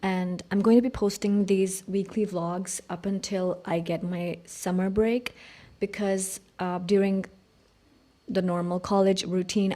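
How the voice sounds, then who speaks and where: A woman's voice: on the low side, dark tone. A young woman who speaks Urdu natively, chatting casually in English with Urdu phrases mixed in as she narrates a vlog about her week at art college. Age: young adult. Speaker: art student vlogger.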